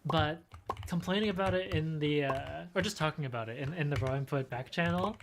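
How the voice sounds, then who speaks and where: A woman with a notably low voice speaks in a dry, laid-back way during casual chat. A constant hiss sits behind her. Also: brisk.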